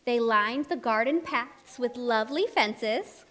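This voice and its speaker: A woman tells a story to young children at a live puppet show. Her high voice is expressive and rings through an echoey hall. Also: slow pace. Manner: expressive, addresses young children with simple questions.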